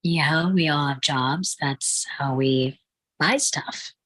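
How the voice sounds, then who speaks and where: A woman's voice: low-pitched, slow, a bit bright, heavily acted. An actress reading the part of a practical, teasing young woman in a sitcom table read.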